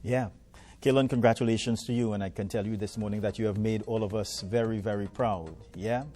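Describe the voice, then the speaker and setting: A man's voice: fairly low pitch, fairly even intonation, brisk, dark tone. A man hosting a morning TV show who interviews a guest with friendly, encouraging, folksy questions. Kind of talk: live TV interview.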